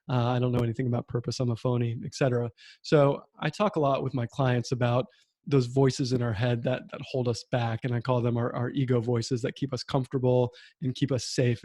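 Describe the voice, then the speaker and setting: A man in his thirties, a podcast host, telling his own story in a reflective way with many pauses and fillers over a video call. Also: medium pitch, warm tone, no echo, clean studio sound. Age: 30s. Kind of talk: conversational interview. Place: home room with bookshelf.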